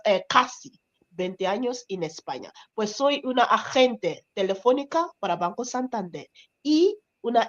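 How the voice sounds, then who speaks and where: A woman introduces herself as a guest on a live video call. She speaks politely and moves easily between languages. The call audio is muffled and lo-fi. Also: fairly high pitch. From Nigeria. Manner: warm and polite, switching languages. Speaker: panel guest.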